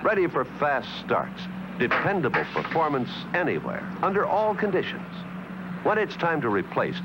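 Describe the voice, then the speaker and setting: A man's voice: a little high, very noisy. A man reading a scripted vintage commercial as an announcer, on an old archival film soundtrack. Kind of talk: vintage advertisement narration, scripted.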